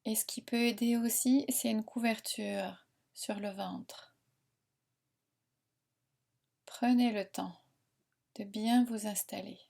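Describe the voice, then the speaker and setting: A woman guiding a meditation in a soothing, unhurried, instructing manner with long pauses. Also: mid-range voice, steady tone, creaky, mellow. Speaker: relaxation and yoga nidra guide. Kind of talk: guided meditation narration.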